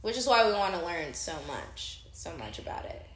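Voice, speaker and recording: A young woman co-hosting a casual chat in a playful, teasing, unhurried way. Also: fairly low pitch, very animated, a bit bright, echoey room, slight background noise. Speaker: podcast co-host.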